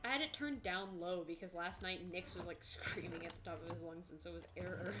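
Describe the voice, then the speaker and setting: Tired woman with a low, dry voice. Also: a little fast, music in the background. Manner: dry, joking, blunt. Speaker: streamer. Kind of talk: casual stream chat.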